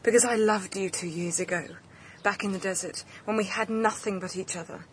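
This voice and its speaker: An earnest, determined young woman played by an actress in a radio drama. Her voice sits at a middling pitch and moves between intimate hush and intensity. A light layer of noise lies over the recording. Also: steady tone, fairly quick, natural voice. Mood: pleading, resolute.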